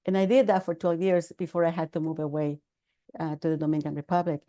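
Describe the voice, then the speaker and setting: Older Salvadoran woman with a mellow voice. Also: low voice, little pitch movement. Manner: measured, earnest storytelling with fillers. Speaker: podcast guest, author. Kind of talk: conversational interview.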